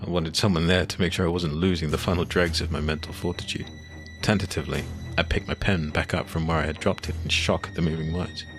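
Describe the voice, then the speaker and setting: A man playing a weary, shaken occult adventurer, telling his ordeal in deliberate, brooding scripted narration. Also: deep voice, fairly even intonation, fairly bright tone. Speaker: first-person narrator. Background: music.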